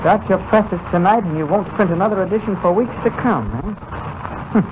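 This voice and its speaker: An actor playing an unflappable, stubborn newspaper reporter in a 1940s-style radio drama. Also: male voice, sits high, fairly quick, close to an everyday voice. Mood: defiant. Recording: noisy, muffled old radio broadcast.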